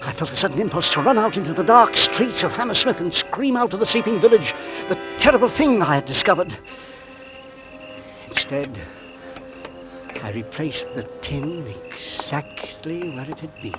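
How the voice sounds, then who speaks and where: An actor playing a married English gentleman who fears poisoning, speaking formally and deliberately in 1940s radio suspense style. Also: on the high side, very animated, over music. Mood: haunted narration. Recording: noisy vintage radio drama recording.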